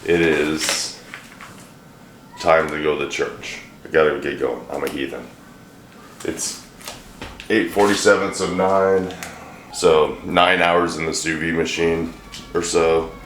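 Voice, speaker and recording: Deep-voiced man joking in his kitchen. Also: fairly even intonation, slow pace, long pauses, a bit bright. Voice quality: deep, a little hoarse from a throat bug. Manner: joking, rambling, dry self-deprecating humor. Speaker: vlogger, home cook. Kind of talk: casual cooking vlog chat. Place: home kitchen. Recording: echoey kitchen, faint hiss.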